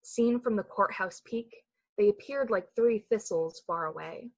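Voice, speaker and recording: A woman with a breathy voice delivering scripted, dramatic narration for a suspense radio play. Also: mid-range voice, measured pace, dull audio. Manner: dramatic, literary storytelling.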